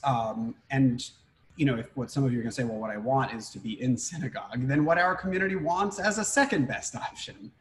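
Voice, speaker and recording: A middle-aged rabbi speaks to his congregation over a video call, measured and deliberate, with plenty of ums and uhs. His voice sits at an ordinary man's pitch. A faint hiss runs under the call audio. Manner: measured, deliberate, with frequent fillers. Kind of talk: town hall remarks to a congregation.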